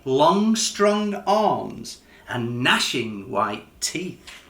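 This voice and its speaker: A man reads a children's story aloud as its author. He takes his time and swings his pitch widely for expression. The sound is roomy, with noticeable echo. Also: big pitch swings, extremely slow, creaky, fairly bright tone. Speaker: author reading own book.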